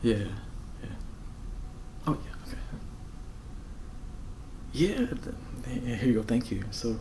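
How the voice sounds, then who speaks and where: An American man in his thirties doing a casual ASMR roleplay as a friendly, flustered rental host, soft-spoken, halting and apologetic. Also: mid-range voice, expressive, slight background noise. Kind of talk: ASMR roleplay, casual one-sided conversation. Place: bedroom, indoors.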